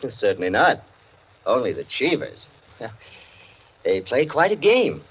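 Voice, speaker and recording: An actor playing a suave, arch bachelor broker in 1940s radio drama, urbane, formal and insinuating. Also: male voice, mid-range voice, wildly swooping pitch, measured pace, a bit bright, slight background noise. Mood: sly amusement. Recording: muffled, worn 1940s radio recording.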